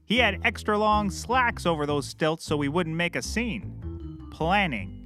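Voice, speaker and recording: A man's voice: high-pitched, expressive, unhurried, pushed and bright, faint music behind, full character voice. A man playing a cheerfully clueless, rambling private eye who cracks corny jokes in a scripted comedy. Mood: eager, rambling. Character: bumbling, chirpy, oblivious male puppet-world private eye.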